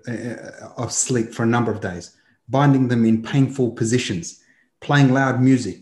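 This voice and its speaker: A man's voice: mid-range voice, a little mellow, roomy sound. A man with an Australian accent hosting a political talk show, speaking with passion and listing grievances at length. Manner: impassioned, lists grievances at length. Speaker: host.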